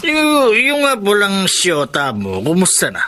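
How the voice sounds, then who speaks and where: An actor playing a boisterous, flattering, nosy drinking buddy with a Filipino accent in a radio drama. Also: male voice, sits high, measured pace, heavily acted. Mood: jovial, teasing. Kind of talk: Tagalog radio drama acting.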